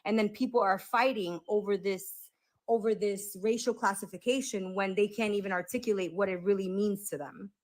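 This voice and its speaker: A woman in a casual group debate who jumps in with quick interjections and pads her speech with fillers like "um" and "like". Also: medium pitch, fairly even intonation.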